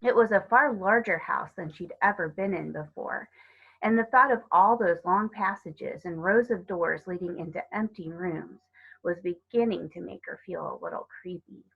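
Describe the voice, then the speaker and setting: A woman narrating a children's story aloud in a measured, expressive read-aloud way. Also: lowish voice, creaky, slightly rough, muffled recording. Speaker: storybook reader.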